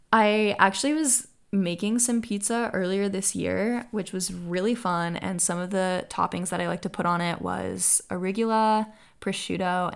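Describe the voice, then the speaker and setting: A woman's voice: mid-range voice, creaky. A young American woman hosting her own podcast, answering listener questions in an upbeat, earnest way. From United States. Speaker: podcast host. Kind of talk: solo podcast Q&A.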